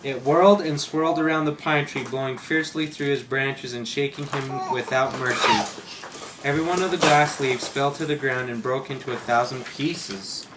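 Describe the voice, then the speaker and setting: A man reading a children's story aloud with expressive emphasis. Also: mid-range voice, moderate pace. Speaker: story reader. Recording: very noisy home recording with clicks.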